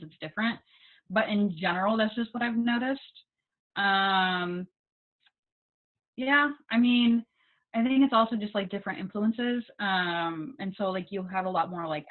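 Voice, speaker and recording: A young American woman, a guest English teaching assistant, chatting casually with students and filling her talk with ums and likes. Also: mid-range voice. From United States. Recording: tinny phone-like video call audio.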